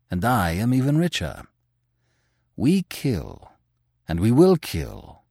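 A man voicing a calm, kindly but ruthless gentleman who speaks quietly and deliberately. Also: fairly low pitch, no room sound, studio quality, full character voice. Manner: quiet, slow and measured.

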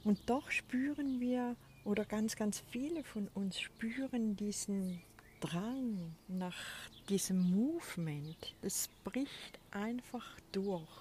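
A woman hosts her spiritual podcast from an outdoor balcony, speaking in a soft, often breathy way. She talks very unhurriedly and lets pauses sit. Birdsong and a faint hiss of outdoor noise drift behind her voice. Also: mid-range voice. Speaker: podcast host. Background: birds and a crow.

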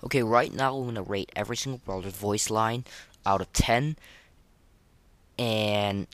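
A man with a fairly low voice hosts a gaming podcast in a close, dry sound. He talks informally and off the cuff, often halting and repeating himself as he goes. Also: no echo. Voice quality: voice that cracks now and then. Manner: halting, repetitive, informal delivery. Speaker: podcast host. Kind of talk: casual unscripted gaming podcast.

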